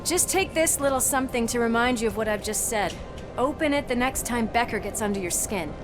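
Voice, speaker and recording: An actress playing a caring, wry police partner in a video game. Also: female voice, a little high, lively intonation, brisk, over music, natural voice. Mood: earnest. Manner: warm, teasing, earnest. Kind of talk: video game cutscene acting.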